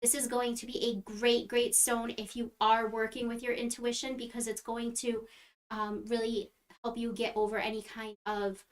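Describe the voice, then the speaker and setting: A woman with a fairly high voice earnestly walks through a set of crystals on a live stream. She speaks in an explanatory way, filling her pauses with soft ums. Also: level tone. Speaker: crystal healer.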